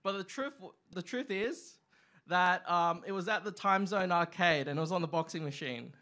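A young man performing open mic stand-up, with a halting delivery full of ums and uhs, on a small club stage. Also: sits high, sharp tone. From Australia. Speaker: stand-up comic. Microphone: stand mic, far camera.

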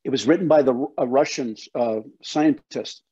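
A man's voice: medium pitch, normal speed, mellow, breathy edge. An older man, a guest educator explaining things in an emphatic, folksy interview style on a video call. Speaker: guest, soil health educator. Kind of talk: passionate explanatory interview talk. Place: home office, indoors. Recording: slightly dull video call audio.

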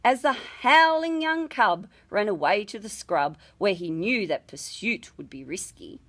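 A woman reading a ballad expressively, with measured, dramatic storytelling. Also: medium pitch, lively intonation, fairly bright tone. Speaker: storybook reader.

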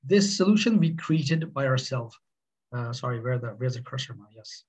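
A middle-aged man with a Swedish accent, presenting on a webinar in earnest, halting speech. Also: mid-range voice, expressive, a little mellow, slightly rough. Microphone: webcam on video call.

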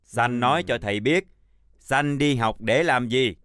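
Cartoon older male teacher played by a man, unhurried, formal and patient, close dry sound. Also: medium pitch, fairly bright tone, smooth, no echo, natural voice. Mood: stern, patient. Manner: measured, formal, instructive. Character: stern, patient older male chalk schoolteacher. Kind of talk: children's cartoon acting.

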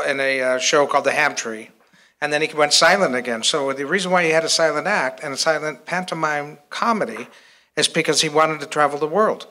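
An older American man speaking off the cuff as a historian to an audience, informal and a bit halting. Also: fairly high pitch, sharp tone. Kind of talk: informal explanatory talk. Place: hotel conference room before a seated audience.

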